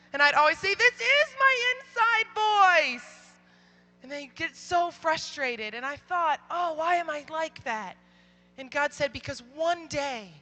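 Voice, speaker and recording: Young woman preacher telling stories to kids, super loud voice, high and bright, does all the voices. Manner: animated, acts out quoted voices. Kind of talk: storytelling youth talk.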